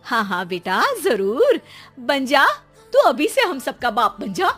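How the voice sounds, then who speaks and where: A woman playing an exasperated, sharp-tongued Indian mother who scolds and nags with theatrical sarcasm in a comic audio drama. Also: sits high, sing-song, slow pace, faint music behind, full character voice. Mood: sarcastic.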